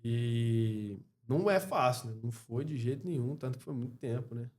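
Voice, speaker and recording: A Brazilian man speaking as a podcast guest in a casual interview, reflective and halting, often checking in with short tag questions. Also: medium pitch, a little mellow. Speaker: podcast guest, clothing store co-founder.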